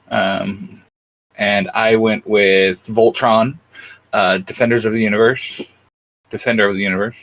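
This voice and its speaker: A man's voice: fairly low pitch, fairly bright tone, rough recording. A man co-hosting a YouTube show, talking casually about his favourites. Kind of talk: casual chat about cartoons.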